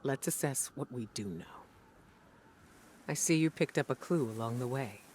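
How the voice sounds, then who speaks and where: An actor playing a weary but resolute male commander in a video game, measured, reflective and serious. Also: medium pitch, darkish tone, a little hiss or hum, lightly acted. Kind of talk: dramatic video game voice acting. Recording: music under the dialogue. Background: game music.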